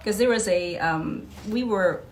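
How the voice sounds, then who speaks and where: A woman's voice: mid-range voice, unhurried. Chatty woman guest, faint background noise. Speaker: interview guest. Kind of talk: casual anecdotal podcast chat. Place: live podcast taping.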